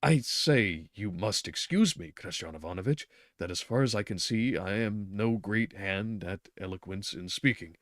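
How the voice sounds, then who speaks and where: A man's voice: sits low, expressive, a little slow, no room sound, clean studio sound. A man voicing a timid, awkward middle-aged clerk who speaks hesitantly and stammers. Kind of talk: reading a novel aloud.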